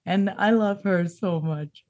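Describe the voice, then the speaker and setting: A woman's voice: mid-range voice, slow pace, a little mellow. A woman player introducing her character in a tabletop game, gushing and hesitant.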